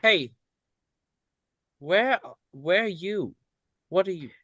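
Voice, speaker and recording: A woman plays an anxious kid in an adventure table read. The voice is bright and close-dry, with halting, pleading delivery at a very unhurried pace. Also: fairly low pitch, expressive, no echo, muffled recording, full character voice. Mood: nervous. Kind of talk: table read of a film script.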